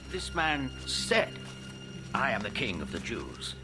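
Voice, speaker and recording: An actor playing a stern, insistent chief priest in a biblical film drama, pointed and emphatic. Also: male voice, medium pitch, huge pitch swings, takes it slowly, music in the background.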